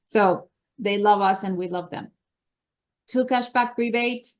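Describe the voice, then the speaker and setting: A woman with a Colombian accent runs through meeting announcements in an upbeat, chatty way. Her voice sits at a middle pitch. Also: unhurried. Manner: enthusiastic, chatty presenter. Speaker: meeting host.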